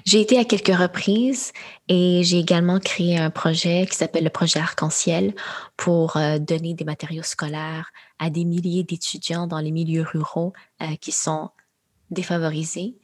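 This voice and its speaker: A young woman, answering interview questions in a thoughtful, measured way with frequent pauses and fillers. Her is Khmer. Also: lowish voice, fairly even intonation, husky. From Quebec, Canada. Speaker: guest, AI entrepreneur. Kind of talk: interview conversation.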